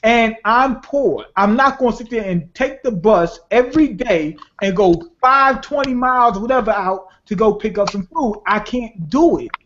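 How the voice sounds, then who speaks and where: A man's voice: high-pitched, lively intonation, moderate pace, hardly any pauses, a bit bright. A man debating bluntly and profanely in a heated casual conversation. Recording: muffled lo-fi audio.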